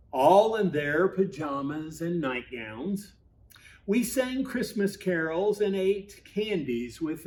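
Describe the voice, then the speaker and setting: A man reading a children's Christmas storybook aloud in an expressive, measured way, with deliberate pauses and emphasis. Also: on the high side. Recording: voice at a distance from mic.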